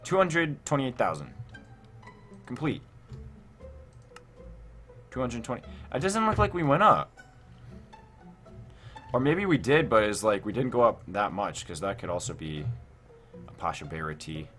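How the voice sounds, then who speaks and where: Low-voiced man commentating on a game. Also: measured pace, long pauses. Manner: excitable, repetitive, thinking aloud. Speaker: gaming video host. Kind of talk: casual Minecraft let's play commentary. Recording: music behind the voice.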